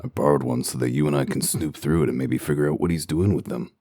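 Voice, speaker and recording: Man playing a very deep, gruff wolf detective. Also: fairly even intonation, fast, mellow, no room sound. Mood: dry, matter-of-fact. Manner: dry, sardonic, terse. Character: gruff, cynical wolf detective in human guise. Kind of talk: comic dub voice acting.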